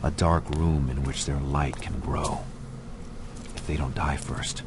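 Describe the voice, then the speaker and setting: A man voicing a brooding amnesiac narrator in a noir horror game, speaking in a hushed, half-whispered, slow way. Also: extremely low pitch, little pitch movement, noisy background. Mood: wistful. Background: music.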